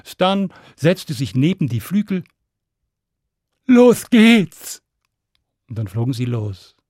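A man telling a fairy tale to children in an expressive, dramatic storyteller's manner with deliberate pauses. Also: mid-range voice, breathy edge, no echo, clean studio sound.

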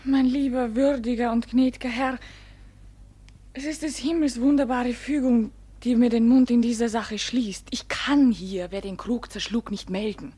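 High-voiced young village maiden. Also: female voice, steady tone, moderate pace, warm tone, husky. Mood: solemn, guarded. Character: very young village maiden, earnest, guarded, defiant. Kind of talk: classic German stage drama as radio play.

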